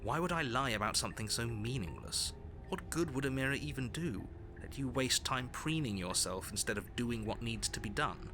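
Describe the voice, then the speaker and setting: An actor voicing a stern, impatient narrator in a video game, with a measured, authoritative delivery. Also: male voice, medium pitch, animated, over music, natural voice. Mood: stern, dry. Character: stern male narrator, commanding, impatient, dryly insistent. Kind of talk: scripted second-person game narration.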